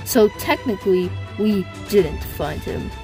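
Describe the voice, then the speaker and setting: Woman doing a slow, serious chameleon detective. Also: mid-range voice, animated, music in the background. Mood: matter-of-fact. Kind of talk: amateur comic dub.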